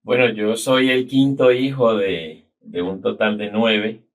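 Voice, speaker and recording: A middle-aged man speaks with a Colombian accent from the Cali area, and his voice sits very low. He tells his story in an unhurried, reflective way, like a guest settling into a long interview. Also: steady tone. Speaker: guest, business consultant. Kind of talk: casual interview talk.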